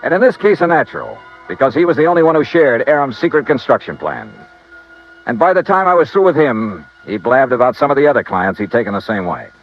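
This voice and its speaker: An actor playing a tough, driven insurance investigator in an old radio detective drama, with a clipped, forceful delivery. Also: male voice, mid-range voice, sing-song, fast, soft background music. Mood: wry narration. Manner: clipped, hard-boiled, forceful. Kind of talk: 1950s radio detective acting with first-person narration. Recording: muffled, noisy vintage radio recording.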